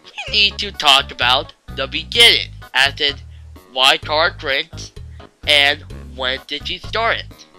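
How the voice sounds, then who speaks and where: Slow-talking man with a very bright voice. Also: fairly high pitch, expressive, soft background music. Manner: jokey, sweary. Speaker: online video host. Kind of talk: casual fan-theory commentary.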